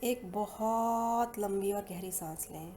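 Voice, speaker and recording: Mellow Indian woman guiding meditation. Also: mid-range voice. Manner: soothing, unhurried, with long pauses between phrases. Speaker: guided meditation and hypnosis leader. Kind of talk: slow guided meditation narration. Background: soft meditation music.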